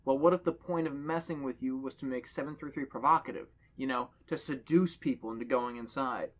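A man's voice: medium pitch, a little fast. A man on a podcast reading a horror story aloud to friends and joking between passages. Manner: reads dialogue aloud, jokes between passages. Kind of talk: casual reading with banter.

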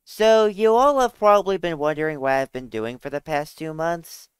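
A man's voice: a little high, moderate pace, smooth, dry recording. A young man giving an informal, plain-spoken scripted announcement to his viewers as a channel host. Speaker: amateur filmmaker and channel host.

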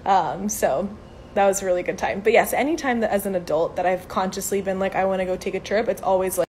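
A young woman in her twenties talks casually into a phone held at arm's length outdoors, answering questions on a live stream. Her talk is chatty and upbeat, full of "um" and "like", at a middle pitch. A faint low rumble sits behind her voice. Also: fast talker, darkish tone. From Venezuela. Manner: chatty, upbeat, many fillers like "um" and "like". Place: outdoors by a brick building. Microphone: phone camera at arm's length.

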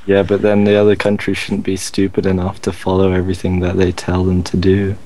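Deep flat-voiced man arguing on a call. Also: fairly quick, slight background noise, rough recording. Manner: argumentative, pushes back on others. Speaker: Skype call participant. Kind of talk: casual group chat. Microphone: computer mic on a voice call.

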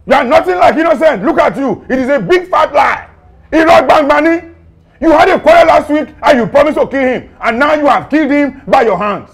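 A man's voice: extremely high pitch, very animated, brisk, heavily acted. A man with a Nigerian accent playing a domineering, scornful police officer in a film drama, repeating himself as he scolds. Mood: scornful, shouting. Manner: repetitive, scolding, mixes Yoruba and Pidgin into speech.

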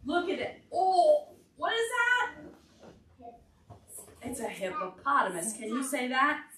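High, sing-song woman reading to toddlers. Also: lively intonation, slow pace, fairly bright tone. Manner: sing-song repetition, simple prompting questions. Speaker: children's librarian leading toddler storytime. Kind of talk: read-aloud to toddlers with questions. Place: large carpeted library meeting room. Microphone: far camera across the room. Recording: roomy, distant mic, noisy room.

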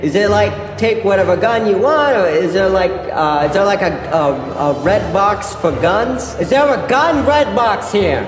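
A man's voice: high voice, expressive, a little slow, few pauses, soft background music. A man voicing a childish, bumbling chubby mall cop in a comedy cartoon, rambling and stammering eagerly. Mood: eager, confused. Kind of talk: animated comedy voice acting.

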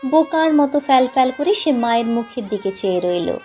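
A woman's voice: a little high, animated, fairly quick, dark tone. A woman narrating a literary story expressively, audiobook style, with music underneath.

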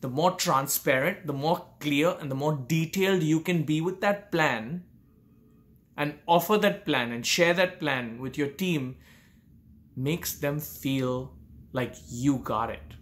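A man's voice: on the high side, slow, a bit bright. A man in his forties gives earnest business coaching straight to camera from a room at home, asking many rhetorical questions. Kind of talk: direct-to-camera advice talk. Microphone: camera facing him at close range.

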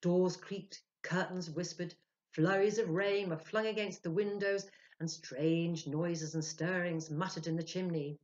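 A woman in her sixties giving a theatrical, expressive dramatic reading of a story as a professional storyteller. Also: fairly low pitch, measured pace. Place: small indoor room. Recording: dull lo-fi webcam sound.

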